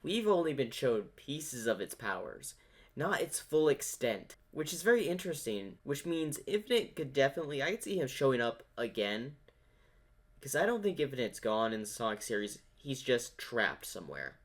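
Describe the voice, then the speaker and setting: A man's voice: mid-range voice, very animated, normal speed. Male reaction host, mid-range voice, casual fan commentary with a rambling, theorizing manner.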